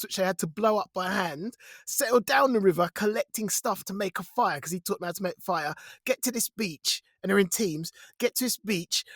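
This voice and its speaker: A man with a British accent chatting casually as a podcast co-host, excitable and colloquial. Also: sits high, no echo. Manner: chatty, excitable, colloquial, often breaking into laughter. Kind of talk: casual chat, reviewing television.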